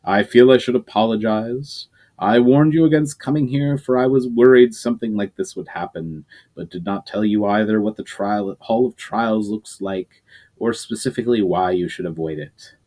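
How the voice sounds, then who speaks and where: A man voicing a calm, wise male mage leader who speaks slowly and patiently. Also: on the low side, close to an everyday voice. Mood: apologetic. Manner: measured, patient.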